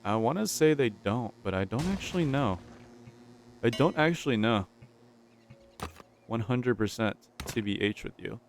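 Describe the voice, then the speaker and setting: A man's voice: medium pitch, animated, measured pace, pauses often, slight background noise. A young man with a stuffy, nasal voice, casually commentating his gameplay, thinking aloud and repeating himself. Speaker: gaming YouTuber.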